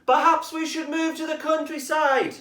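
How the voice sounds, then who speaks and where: A reader doing high eager storybook foxes. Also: level tone, moderate pace, a bit bright. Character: noisy fox trio, restless and eager. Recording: roomy echo, voice far from mic.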